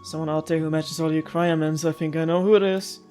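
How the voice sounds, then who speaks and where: A young woman talks through her game playthrough in a low, relaxed voice, rambling and giggling between thoughts. Quiet game music sits behind her speech. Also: fast talker. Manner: rambling, giggly asides to viewers. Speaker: gaming streamer. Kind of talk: casual let's-play commentary.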